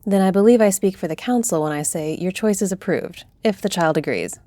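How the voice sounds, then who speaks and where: A woman acts out a solemn griffin-shifter diplomat in a fantasy audio drama. She speaks formally and deliberately in a fairly low, dark-toned voice, recorded dry and with real authority. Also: no room sound, close to an everyday voice. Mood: measured, approving. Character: stately female griffin-shifter council leader, solemn, fair-minded diplomat. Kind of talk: fantasy audio roleplay acting.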